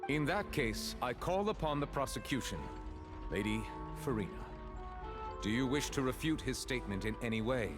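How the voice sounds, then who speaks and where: An actor playing a formal, authoritative judge in a video game, speaking solemnly and deliberately. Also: male voice, medium pitch, animated, close to an everyday voice. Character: formal, solemn male chief judge of a court. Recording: music behind the voice.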